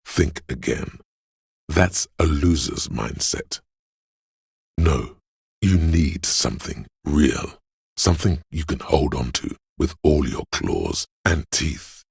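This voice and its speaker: Very deep gravelly slick hustler. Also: male voice, animated, slow, darkish tone, no echo, clean studio sound, full character voice. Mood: brash, goading. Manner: cajoling, flamboyant, streetwise swagger. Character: slick money-obsessed male inner voice, hustler hype man. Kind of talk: theatrical video game character monologue.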